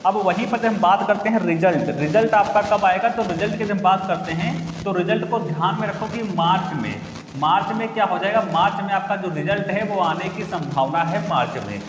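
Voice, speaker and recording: A man's voice: high-pitched, a little fast, a little hiss or hum. A young male teacher explaining exam updates to students, repeating each point and asking the class to recall it. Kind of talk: repetitive classroom-style explanation. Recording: echoey room with long reverb, faint ambience.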